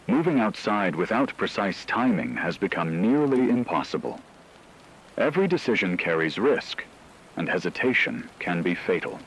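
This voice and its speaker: A man performs a grave male announcer for a horror radio drama, with a very low-pitched voice. He delivers the scripted report in a solemn, measured, ominous manner, over clearly noisy rain-like ambience. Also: sing-song, a bit bright, full character voice. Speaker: radio emergency broadcast announcer.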